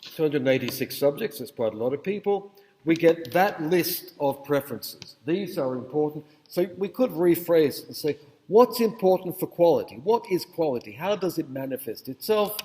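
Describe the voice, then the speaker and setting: A man's voice: a little high, expressive, normal speed, a little mellow, slight background noise. A man giving a university lecture in a conversational, digressive way, often checking in with the audience. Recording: echoey hall with long reverb.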